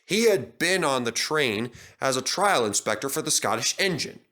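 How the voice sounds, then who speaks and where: Guy reading a kids' train story, lively up and down, bright voice. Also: medium pitch, normal speed. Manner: animated, expressive.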